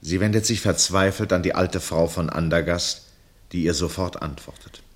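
Very deep-voiced male narrator. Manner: measured, sober, literary. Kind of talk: scripted narration for radio drama.

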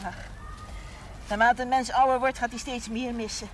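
An actress playing a proud, chatty older village wife who takes offense easily but soon calms down. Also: female voice, fairly high pitch, moderate pace, lightly acted. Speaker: shopkeeper's wife. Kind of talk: 1970s TV drama acting. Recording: noisy old TV broadcast audio.

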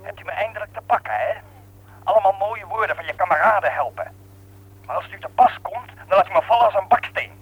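An actor playing a defiant young worker in a radio drama, accusing and sarcastic, bitter at being betrayed. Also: male voice, high-pitched, fast talker, husky, narrow, tinny audio.

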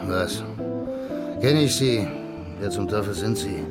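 An actor playing a defiant, foul-mouthed thug in a radio crime drama, combative and blustering. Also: male voice, fairly low pitch, expressive, takes it slowly, over loud music. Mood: defiant, irritated. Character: belligerent, foul-mouthed male thug, defiant when threatened.

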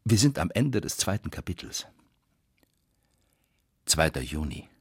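A very low, crackling voice telling a literary tale for radio. He is wry and dramatic, with big swings of intonation and a husky grain. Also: big pitch swings, unhurried, darkish tone, no echo, clean studio sound, exaggerated voice. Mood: reflective. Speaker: actor reading narration and letters.

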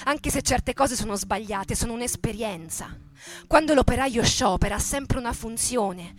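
A woman's voice: a little high, normal speed, close to an everyday voice. A woman playing a fiery, defiant male factory worker in a radio theatre monologue, speaking colloquially in short, emphatic, repetitive phrases. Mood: defiant, firm. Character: militant male Fiat factory worker recalling the 1962 revolt, fiery, defiant.

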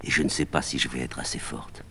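A man reading a play aloud, voicing a proud, anguished sorceress woman in an impassioned, declamatory way that swings from cries to hushed pleading. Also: extremely low pitch, fairly even intonation, fairly quick, creaky, slight background noise, pitched below the performer's own voice, exaggerated voice.

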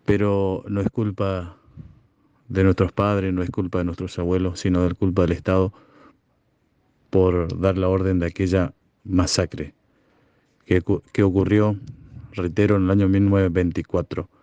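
A man from Chaco, a community broadcaster giving slow, halting, solemn testimony. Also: deep voice, little pitch movement, mellow, no echo, lo-fi sound. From Chaco, Argentina. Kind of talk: recorded testimony.

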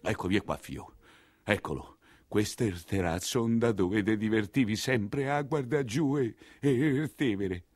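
A man playing a sorrowful, sentimental hundred-year-old general in a theatrical radio monologue, halting and repetitive, with a Roman accent. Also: fairly low pitch, a little mellow, natural voice. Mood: wistful. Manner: halting, stammering, repeating himself.

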